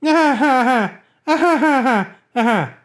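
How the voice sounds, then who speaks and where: A woman voicing a crippled male court jester in a gothic tale, outwardly tranquil and quietly cunning, with measured delivery. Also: high-pitched, animated. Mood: mocking laughter. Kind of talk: dramatic gothic story reading.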